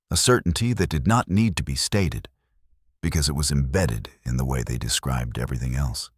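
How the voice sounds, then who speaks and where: A man's voice: very deep voice, breathy edge, dry recording, clean studio sound. A man narrating a scripted sleep meditation in a contemplative, soothing manner.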